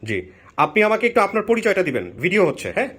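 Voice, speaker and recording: A man's voice: high-pitched, huge pitch swings, quick pace, a bit bright. A man with a Bangladeshi accent hosting a show, questioning guests conversationally and repeating their answers back. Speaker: show host.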